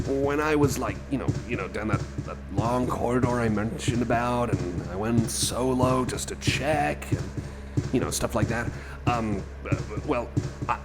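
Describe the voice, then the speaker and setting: Hesitant, slow-talking man in ASMR roleplay. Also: medium pitch. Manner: hesitant, halting, stammering, repeating phrases. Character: nervous, friendly male cyborg companion lost in endless corridors. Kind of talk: rambling improvised ASMR roleplay. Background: music.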